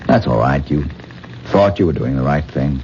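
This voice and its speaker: An actor playing a vain, lovesick, obsessive stage actor in a 1970s radio drama, with halting, repetitive, theatrical delivery. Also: male voice, extremely low pitch, animated, darkish tone, slight background noise. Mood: resigned despair. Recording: muffled vintage radio recording, music behind.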